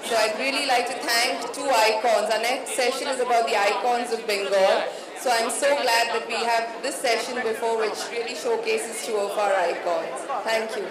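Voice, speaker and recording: A woman's voice: a little high, fairly even intonation, moderate pace. A woman organiser making formal closing thanks and announcements. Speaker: festival organiser. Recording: echoey hall, crowd.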